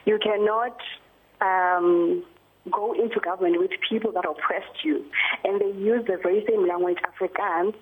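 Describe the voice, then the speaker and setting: A woman's voice: medium pitch, steady tone, normal speed, breathy edge. A South African woman calling in to a talk show, passionate and indignant. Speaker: caller. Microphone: phone line. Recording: tinny phone line.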